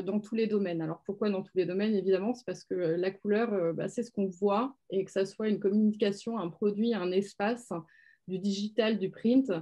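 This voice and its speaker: A woman's voice: mid-range voice, warm tone. A woman who works as a color strategy expert, explaining her work on a webinar over a video call, with lots of fillers. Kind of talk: webinar presentation.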